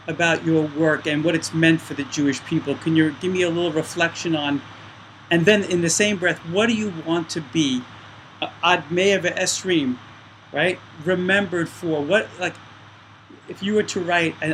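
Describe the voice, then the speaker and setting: Male interviewer, a bit high-pitched, rambling and effusive, faint noise behind. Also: a bit bright, low-quality recording. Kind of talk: casual interview.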